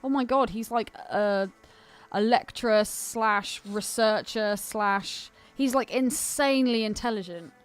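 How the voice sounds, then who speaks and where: A woman's voice: on the high side, unhurried, clean tone, faint music behind. A woman co-hosting a podcast in a casual, conversational style. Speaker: podcast co-host. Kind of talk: casual chat.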